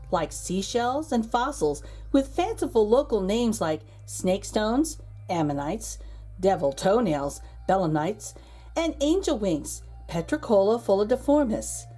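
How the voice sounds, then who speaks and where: A woman reading a children's picture book aloud as a storyteller, expressive, with dramatic emphasis and pauses. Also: mid-range voice, breathy edge, faint music behind. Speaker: read-aloud host and storyteller.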